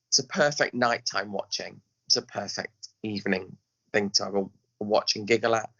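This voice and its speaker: A man's voice: medium pitch, pushed and bright, dull audio. A man chatting casually as an interview guest, speaking emphatically and often acting out little asides. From England. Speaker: podcast guest, pantomime director.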